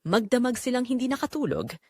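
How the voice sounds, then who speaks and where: A woman's voice: on the high side, big pitch swings, quick pace, a little mellow, no echo, clean studio sound. A woman narrating a scripted audio drama in a dramatic, suspenseful way.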